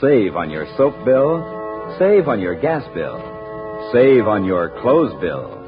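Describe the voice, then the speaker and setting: A man's voice: fairly low pitch, wildly swooping pitch, slow pace. A man announcing and narrating a 1940s radio show with polished, emphatic, scripted delivery. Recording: muffled old radio, music behind.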